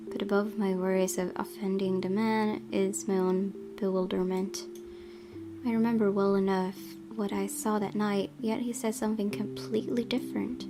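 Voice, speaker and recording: A woman reading a visual novel aloud as a gaming host, in expressive, dramatic storytelling narration. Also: medium pitch.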